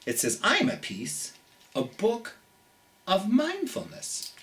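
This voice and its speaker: A middle-aged children's storytime host chatting and reading aloud, gently and theatrically, speaking straight to young listeners with drawn-out emphasis. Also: mid-range voice, wildly swooping pitch.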